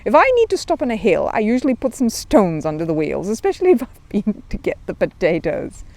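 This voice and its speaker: A woman's voice: medium pitch, sing-song, a little fast, a little mellow. Woman voicing an earnest, emphatic little storybook bear. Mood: earnest, matter-of-fact. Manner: earnest, literal-minded, emphatic. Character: polite, earnest, easily indignant young male bear. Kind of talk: children's storybook character reading.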